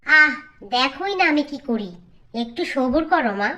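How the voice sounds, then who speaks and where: A woman's voice: high-pitched, lively intonation, normal speed, sharp tone. A woman voicing a spoiled, scheming young sister-in-law in a cartoon, demanding and whiny. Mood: scheming, confident. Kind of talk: Bengali cartoon acting.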